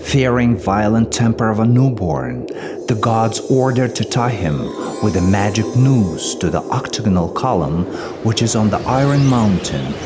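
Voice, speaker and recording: A man narrating an epic tale as a scripted storyteller in a solemn, measured manner, with music behind him. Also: on the low side, lively intonation.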